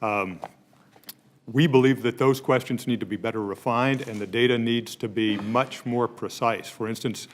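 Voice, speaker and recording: Male hearing witness, average pitch, careful, measured, formal delivery. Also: fairly even intonation. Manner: measured, careful, precise wording. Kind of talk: formal testimony.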